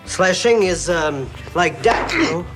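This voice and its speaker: A man in a played clip gives rambling, deadpan answers in a fairly high voice. Clear guitar music runs under him. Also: a little slow.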